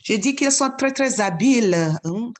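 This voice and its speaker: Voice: medium pitch, expressive, measured pace, darkish tone, slight background noise. Teacher chiming in with short remarks. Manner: short interjections and backchannels. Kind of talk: casual discussion in a video meeting.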